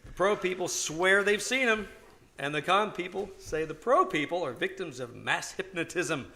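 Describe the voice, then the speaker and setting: Man acting a cheerful radio announcer, high-pitched, forceful, polished sales patter, 1950s style, live old-time radio show. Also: moderate pace, creaky, exaggerated voice. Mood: wry, introducing.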